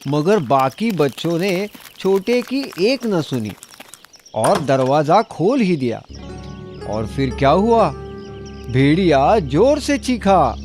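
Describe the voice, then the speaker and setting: Animated, higher-pitched male children's storyteller. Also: slow pace, soft background music. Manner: expressive, dramatic storytelling. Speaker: storyteller narrator. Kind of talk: scripted children's story narration.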